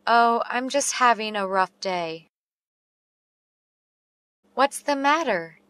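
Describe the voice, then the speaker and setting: Slow, carefully spoken woman. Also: a little high, expressive, fairly bright tone, smooth, no echo. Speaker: English teacher reading dialogue. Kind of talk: slow read-aloud English practice phrases.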